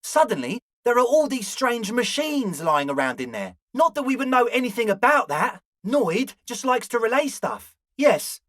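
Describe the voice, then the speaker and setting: A man's voice: extremely high pitch, very animated, normal speed, hardly any pauses, fairly bright tone, dry recording, studio quality, exaggerated voice. A man voicing an eager, flaky young male slacker ringleader in a video game, talking in an earnest, ingratiating, hedging way. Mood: earnest, evasive. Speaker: voice actor. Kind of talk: video game character dialogue.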